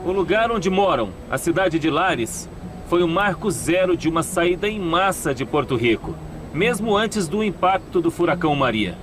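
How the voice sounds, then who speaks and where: A man voicing a show host in a dubbed documentary, narrating and asking questions in a measured, grave, explanatory way. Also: a little high, lively intonation, natural voice. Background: background music.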